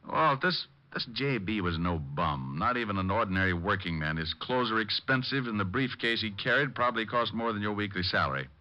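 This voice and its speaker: An actor playing a cocky, easygoing American private detective with dry sarcasm, in old radio drama style. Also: male voice, fairly low pitch, lively intonation, a little fast, hardly any pauses, close to an everyday voice. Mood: matter-of-fact. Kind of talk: 1950s radio detective acting. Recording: dull vintage radio recording.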